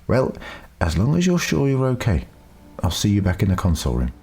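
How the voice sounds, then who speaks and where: A man voicing a caring young woman companion, tentative and gently concerned, in an audiobook. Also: on the low side, wildly swooping pitch, a little fast, faint music behind. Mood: gentle.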